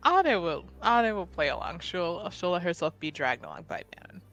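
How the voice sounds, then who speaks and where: A woman's voice: on the low side, sing-song, normal speed, a bit bright, highs cut off, soft background music. A woman player in a tabletop roleplaying game, casually narrating what her character does.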